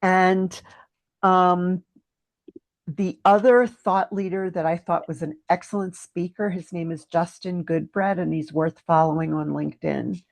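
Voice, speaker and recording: A middle-aged woman with a lower voice and a French first language, speaking English in a casual podcast interview. She answers thoughtfully, pausing and filling gaps with small hesitations. The sound is dry and close. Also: fairly even intonation, vocal fry, no echo. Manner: thoughtful, hesitant with frequent ums. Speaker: guest.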